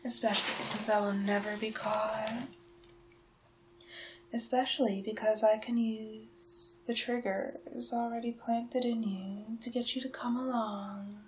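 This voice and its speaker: A woman playing a sly, menacing town witch in a soft-spoken, whispered ASMR roleplay, murmuring deliberately. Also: little pitch movement, a little hiss or hum, natural voice. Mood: sly, gloating. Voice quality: soft, breathy whispering mixed with low-volume speech.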